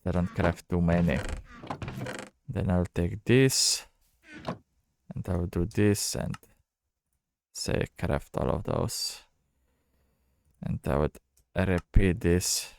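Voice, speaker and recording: A man giving casual, low-key game commentary, thinking out loud in halting phrases as he plays. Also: gravelly. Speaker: gamer narrating own playthrough.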